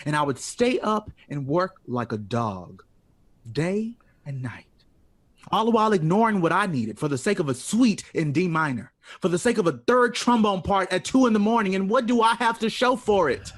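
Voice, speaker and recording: A man's voice: sits high, expressive, moderate pace. A man acting in an audio drama as a wounded, bitter 1960s jazz composer, sarcastic and theatrical in manner.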